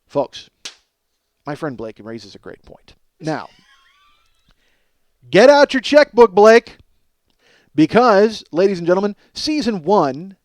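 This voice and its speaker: A man in his forties hosting a comedy podcast, rambling in an animated, profane monologue and often cutting himself off. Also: sits high, takes it slowly, pauses often, no echo. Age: 40s. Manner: animated, emphatic, self-interrupting riffing.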